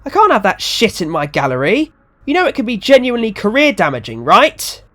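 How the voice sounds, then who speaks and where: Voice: medium pitch, wildly swooping pitch, brisk, fairly bright tone, voice pushed up. A storyteller voicing an exasperated, panicky, foul-mouthed gallery owner in acted story dialogue. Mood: dismayed.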